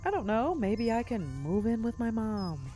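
An actress playing an anxious, sardonic gig worker in a naturalistic audio drama, with a hesitant, wry, expressive way of talking. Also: female voice, on the high side, moderate pace, a little hiss or hum. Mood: rattled, wry. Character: anxious, sardonic gig-worker woman, freshly suspended from her job.

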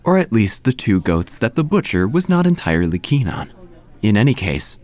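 A man's voice: on the low side, big pitch swings, moderate pace, darkish tone. A man narrating scripted comic horror in a wry, theatrical manner.

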